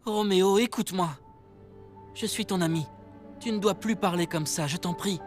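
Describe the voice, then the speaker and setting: A cautious young man, loyal and anxious, from an animated drama, as a male actor plays him. Faint music sits behind his voice. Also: high voice, normal speed, natural voice. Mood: worried pleading. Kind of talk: French animated drama dub.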